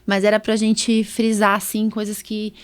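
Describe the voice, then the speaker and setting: A woman's voice: mid-range voice, completely flat delivery, a little slow. A Brazilian woman hosting a podcast as a doctor, chatting informally and chiming in with agreement. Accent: Brazilian Portuguese. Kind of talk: casual podcast chat.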